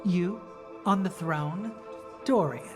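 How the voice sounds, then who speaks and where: A composed, firm fantasy rebel leader. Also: female voice, on the low side, music in the background. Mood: incredulous. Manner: measured, firm, earnest. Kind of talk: dramatic video game dialogue acting.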